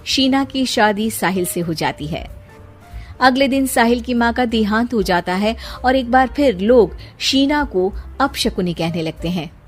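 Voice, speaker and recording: A woman's voice: a little high, expressive, a little slow, soft background music. A woman reading a scripted story as its storyteller.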